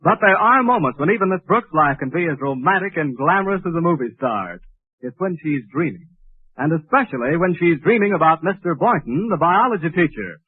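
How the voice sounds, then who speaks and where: A man's voice: a little high, animated, a little fast, breathy edge, no echo. A man narrating a scripted 1940s radio comedy as its announcer. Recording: tinny old radio recording with music. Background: music.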